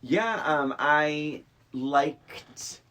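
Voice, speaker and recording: A middle-aged man co-hosting a casual film podcast, giving his opinions in an animated way. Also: on the high side, drawn-out words. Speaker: podcast co-host.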